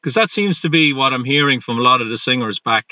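A man's voice: mid-range voice, animated, fast, no room sound. Man interviewing over a tinny line. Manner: friendly, brief affirmations, stumbling restarts. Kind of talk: interview questions. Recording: dry, tinny phone-like line.

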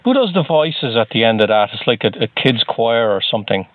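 A man's voice: medium pitch, big pitch swings, a little fast, dry recording. A man interviews a musician, asking short, attentive questions. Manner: brief, attentive, short prompts. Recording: dry tinny phone line.

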